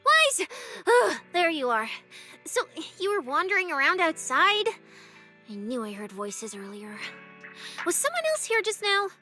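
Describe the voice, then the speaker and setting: A woman playing a playful, bossy, easily flustered young police specialist in an anime-style video game, with an expressive, teasing, mock-official delivery. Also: very high voice, unhurried, faint music behind, exaggerated voice. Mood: scolding, worried.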